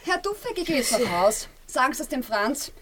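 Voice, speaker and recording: Pert young Viennese woman, high-pitched. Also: big pitch swings, normal speed, a bit bright. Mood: huffy, offended. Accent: Viennese Austrian. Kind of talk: radio play acting. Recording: clear background clatter and noise.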